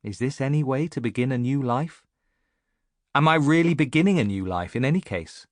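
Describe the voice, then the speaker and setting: A man's voice: mid-range voice, animated, fairly quick, dry recording, clean studio sound, close to an everyday voice. A man reading an audiobook as a reflective, self-mocking woman in her late thirties, musing and confiding as in a letter. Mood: wry. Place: dry recording studio.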